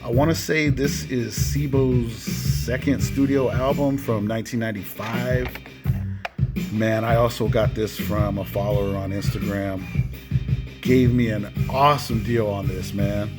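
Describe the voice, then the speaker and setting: A man's voice: fairly low pitch, steady tone, measured pace. A man hosting a casual show-and-tell of a collection, enthusiastic and often punctuating his phrases with "man". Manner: enthusiastic, repeatedly tacks "man" onto phrases. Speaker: video host showing cassette collection. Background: music with guitar.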